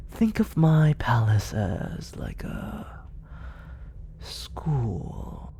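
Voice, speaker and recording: A man playing a slow, gravelly, soft-spoken villain. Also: fairly low pitch, a little hiss or hum, exaggerated voice. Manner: slow, purring delivery that often drops into whispers; condescending and coaxing. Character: smooth, manipulative male villain, soft-spoken and controlling. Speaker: voice actor. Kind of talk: ASMR roleplay. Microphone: close studio condenser mic.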